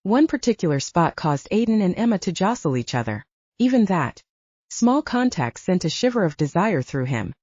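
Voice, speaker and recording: A woman's voice: on the low side, very animated, moderate pace, a little mellow, breathy edge, dry recording. A woman reading scripted fiction as an audiobook narrator, in a slightly dull recording.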